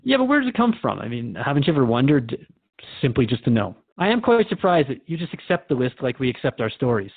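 Guy playing a radio interviewer, talks pretty quick, curious and polite, chatty radio drama style. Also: mid-range voice, sing-song, husky, narrow, tinny audio. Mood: curious, earnest. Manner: inquisitive, conversational. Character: curious, respectful male radio show host interviewing a spirit. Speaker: radio host.

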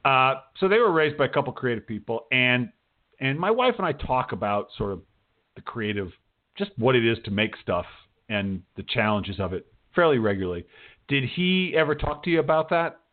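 Middle aged guy hosting a radio interview, bit lo-fi, casual and rambly, normal mid pitch. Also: animated, normal speed. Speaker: radio show host and interviewer. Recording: band-limited, dull radio-show audio.

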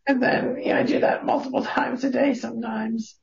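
A woman with a voice that is always hoarse, answering interview questions at a steady clip, with some breathy, near-whispered stretches. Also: on the high side, brisk, muffled recording. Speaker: lecturer and interviewee.

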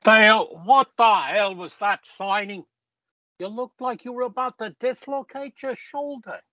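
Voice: mid-range voice, a little slow, bright, forceful tone, no room sound, highs cut off. An actor playing a joking, wisecracking young big brother.

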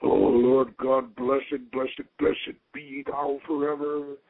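A man giving a solemn, theatrical reading of mystical, archaic scripture in an incantatory manner, with a husky, gravelly voice and dull lo-fi sound. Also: mid-range voice, steady tone, takes it slowly, dry recording. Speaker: reader of an occult ritual text.

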